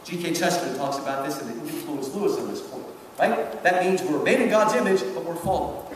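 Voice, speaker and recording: A man's voice: a little high, animated, brisk. A man giving a live lecture with literary commentary, speaking emphatically and rhetorically and often checking in with his listeners. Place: large reverberant hall at a public event. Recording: echoey hall from far off, noisy.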